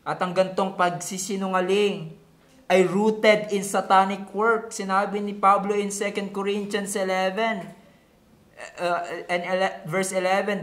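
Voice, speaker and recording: A Filipino man delivers a sermon in a high voice, taking his time over each phrase. He teaches point by point, asking rhetorical questions and stressing key words by repeating them. The room around him echoes noticeably. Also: fairly bright tone. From Philippines. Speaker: pastor. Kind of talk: sermon mixing Tagalog and English.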